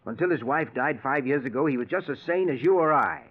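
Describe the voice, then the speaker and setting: An actor playing a stubborn, skeptical middle-aged financier in an old radio drama, blustery and emphatic. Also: male voice, medium pitch, expressive, fast talker, lightly acted. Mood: exasperated. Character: stubborn skeptical financier, father of grown daughter. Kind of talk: 1940s radio acting. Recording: dull old radio recording.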